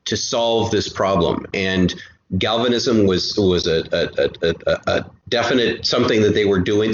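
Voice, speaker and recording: A man guest in an interview, explaining earnestly and often repeating words as he goes. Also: low voice. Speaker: guest, co-creator of an audio drama podcast. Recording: roomy, dull, noisy amateur recording.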